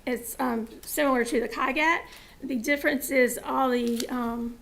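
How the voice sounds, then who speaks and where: A woman presenting to a school board, explaining with fillers, her voice going hoarse. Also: fairly high pitch, fairly even intonation, measured pace, rough recording. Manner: informal, with fillers and explanatory asides. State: losing her voice.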